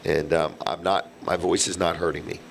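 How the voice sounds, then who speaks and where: Raspy low-voiced male radio host. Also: little pitch movement, moderate pace, a little hiss or hum. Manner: easygoing, joking banter. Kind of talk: casual live radio chat. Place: restaurant set for live broadcast.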